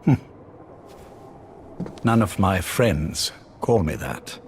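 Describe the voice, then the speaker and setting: An actor playing a courteous, weary older spymaster, speaking in a genteel, deliberate, quietly menacing way in an audio drama. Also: male voice, deep voice, big pitch swings, a little hiss or hum, heavily acted. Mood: intimate, calculating. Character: courteous, weary, secretive older spymaster running covert missions.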